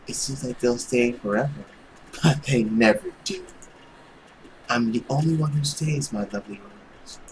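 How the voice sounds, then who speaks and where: A man voicing a sinister, possessive lover in a visual novel, hushed, cold and menacing. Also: medium pitch, background noise, close to an everyday voice. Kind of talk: visual novel character read-aloud.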